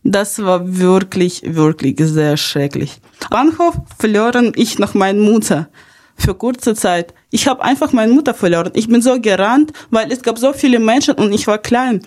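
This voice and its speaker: Young woman, Ukrainian accent, mid-pitched voice, podcast host, personal storytelling, small grammar slips. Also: animated, moderate pace, darkish tone. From Kharkiv, Ukraine. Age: young adult. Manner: non-native German with small grammar slips. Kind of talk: podcast narration of personal experience.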